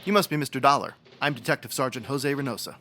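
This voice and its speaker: A man playing a brisk 1950s police detective sergeant in a radio drama, with clipped, formal address. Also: medium pitch, sharp tone, lightly acted. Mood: brisk, cordial. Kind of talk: old-time radio drama acting.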